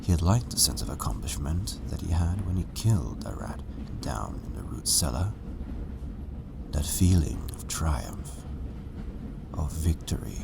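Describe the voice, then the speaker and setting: A man's voice: very deep voice, sing-song, a little slow, gravelly, heavy background noise. A man narrating a story as a scripted reading. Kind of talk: scripted fan fiction narration.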